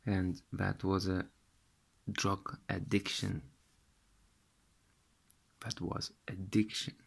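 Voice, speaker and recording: A man in his thirties from Lithuania, speaking English with a Russian accent, slowly retelling a dream to a close-held phone camera in a small room, halting and repeating words as he searches for them. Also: low-pitched, level tone, gravelly, slightly rough. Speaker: vlogger.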